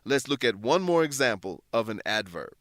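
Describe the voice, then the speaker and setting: A man with a mid-pitched voice presents a scripted English lesson. He explains terms in a deliberate, teacherly way. The recording is dry, with hardly any room sound. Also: very animated, no room sound. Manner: instructional, deliberate word-by-word explanations. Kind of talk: scripted educational narration.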